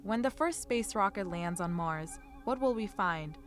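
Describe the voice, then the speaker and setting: A woman's voice: medium pitch, lively intonation, a little slow, lightly acted. A woman playing a solemn announcer, narrating a science fiction radio anthology in a dramatic old-time style. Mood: portentous. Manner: dramatic, portentous.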